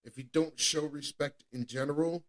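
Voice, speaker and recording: A man's voice: on the high side, moderate pace, a bit bright. A man in his thirties giving a candid personal testimony as a podcast co-host, earnest and repetitive, often restarting his sentences. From New England. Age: 30s.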